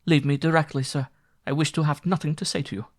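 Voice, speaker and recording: A man voicing a small, quiet young foreign woman with a gentle accent. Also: fairly high pitch, steady tone, fast, voice pushed up, natural voice. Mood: sharp. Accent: foreign accent put on by reader.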